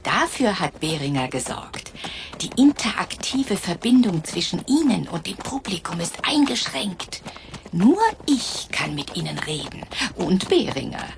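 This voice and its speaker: A woman's voice: sits low, gravelly, background noise, full character voice. An actress playing a teasing, haughty businesswoman in a German radio drama, drawling with theatrical amusement. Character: teasing, haughty rich businesswoman pulling the strings.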